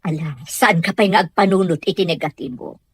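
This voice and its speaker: A woman's voice: lowish voice, a little fast, husky, dry recording. A woman acting as a worried, indignant mother in an amateur audio drama, emphatic and full of questions.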